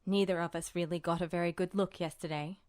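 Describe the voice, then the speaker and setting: A woman voicing a calm, smug male officer with measured, formal delivery for an audiobook. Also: on the low side, no echo, clean studio sound, pitched below the performer's own voice, natural voice. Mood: calm, puzzled. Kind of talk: audiobook character voice.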